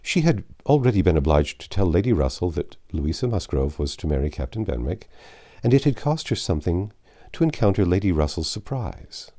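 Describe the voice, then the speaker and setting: A man's voice: deep voice, sing-song, fairly quick, dark tone. A man reading a classic novel aloud as an audiobook narrator, in a scripted storytelling style.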